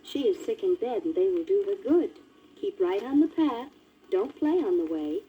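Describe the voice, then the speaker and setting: A man voicing a caring mother in a high falsetto, giving careful instructions on an old story record. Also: expressive, normal speed, narrow, tinny audio, a little hiss or hum. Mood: instructive. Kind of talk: 1948 children's story record.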